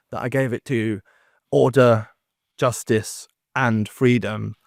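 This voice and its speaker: A man giving an academic conference talk in a wry, self-deprecating, halting way, with joking asides. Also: mid-range voice, dry recording, clean studio sound. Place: conference room, speaking standing before an audience.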